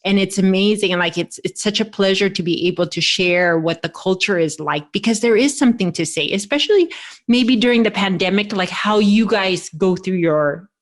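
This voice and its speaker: A woman's voice: mid-range voice, normal speed. An American woman in her forties hosting a podcast, talking casually and rambling, often restarting her sentences. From United States. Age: 40s. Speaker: podcast host.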